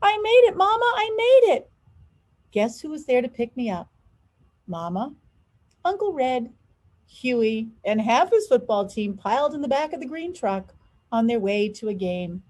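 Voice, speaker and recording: A woman narrating a children's storybook expressively, with deliberate, drawn-out emphasis on key words. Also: a little high, sing-song, mellow. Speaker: school superintendent reading a children's story aloud.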